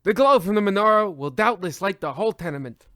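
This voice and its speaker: A man acting an excitable schemer from an old-time radio parody. His voice sits high and swings widely as he declaims each line theatrically, recorded close and dry. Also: fast, no room sound. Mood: excited. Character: excitable, scheming male tenement dweller at Christmas. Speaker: sketch performer and presenter of a comedy radio play.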